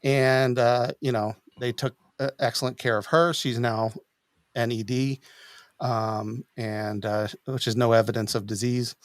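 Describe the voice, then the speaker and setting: A middle-aged man hosting a podcast, chatting casually in a halting, hesitant way. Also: mid-range voice, fairly even intonation, no echo, clean studio sound. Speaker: podcast host. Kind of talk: casual chat. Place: small home studio room. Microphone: boom-arm studio mic up close.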